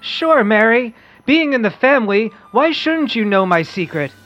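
An adult playing an excitable, wholesome boy who hosts a radio quiz, read in the manner of an old comic-book radio play. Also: mid-range voice, normal speed, no room sound, dull audio, faint music behind. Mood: eager.

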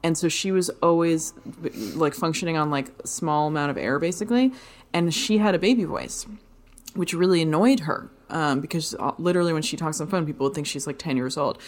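A woman with a notably low voice, chatting casually as a podcast co-host. She rambles through anecdotes with plenty of "um" and "like", and laughs easily. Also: fairly quick. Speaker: podcast co-host, fitness and dance teacher.

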